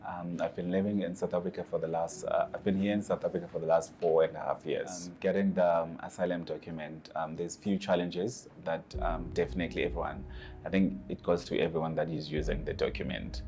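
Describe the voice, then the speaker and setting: A man from Zimbabwe, earnestly recounting his own experiences and pausing to search for his words. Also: sits low, little pitch movement, a little mellow, slight background noise. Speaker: asylum seeker telling his story. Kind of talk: personal testimony to camera.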